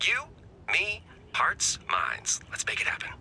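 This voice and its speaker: Bright, wry resistance tech guy. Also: high voice, wildly swooping pitch, a little slow, creaky, whispered, exaggerated voice. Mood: wry, rallying. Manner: chatty, sardonic, dramatic. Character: wry, excitable male resistance technician rallying fighters. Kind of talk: video game voice acting.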